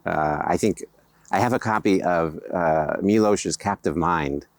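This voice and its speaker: American man, very low voice, dry recording, interview answers, slow and hesitant with fillers. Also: no echo. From United States. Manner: measured, hesitant, frequent fillers and restarts. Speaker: interviewee, writer.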